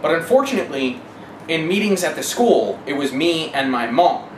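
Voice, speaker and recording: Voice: medium pitch, animated, normal speed. Emphatic speaker in a noisy, echoey room. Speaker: interviewee giving testimony. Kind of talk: personal testimony in an interview. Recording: echoey room, clear background noise.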